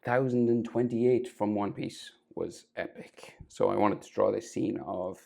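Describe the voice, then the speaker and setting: A man's voice: lowish voice, a little slow. Crackly-voiced man with a sore throat. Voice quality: gravelly, crackly. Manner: relaxed, thinking aloud, often trailing off to a near whisper. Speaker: drawing tutor. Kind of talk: casual drawing tutorial voice-over.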